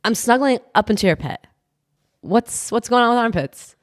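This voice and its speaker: A woman's voice: a little high, expressive, no echo, studio quality. A woman co-hosting a podcast in casual chat, with a nasal voice and a playful, teasing manner. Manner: playful, teasing, quick to laugh. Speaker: podcast co-host.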